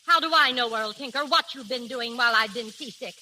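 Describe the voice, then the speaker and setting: An actress playing a suspicious, bossy, jealous wife who scolds sharply in a 1930s radio play. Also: female voice, on the high side, brisk, pushed and bright. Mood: scolding, jealous. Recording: hissy, crackly 1930s radio recording.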